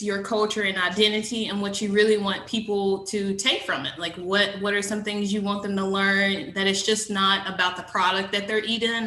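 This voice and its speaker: A woman's voice: mid-range voice, sharp tone, reverberant. A woman moderating a panel, asking casual, conversational questions. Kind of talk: panel discussion.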